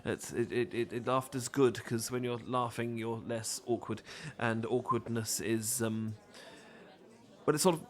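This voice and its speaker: A man's voice: mid-range voice, steady tone, a little slow, lightly acted. A man with a British accent playing a nervous, apologetic, bookish romantic lead in an audio roleplay, stammering and rambling hesitantly. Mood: shy, self-deprecating.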